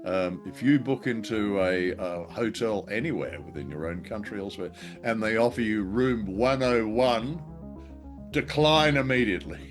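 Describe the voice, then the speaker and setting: A man's voice: on the low side, moderate pace, music in the background. A man co-hosting a radio show and podcast, chatting in a casual, conversational style.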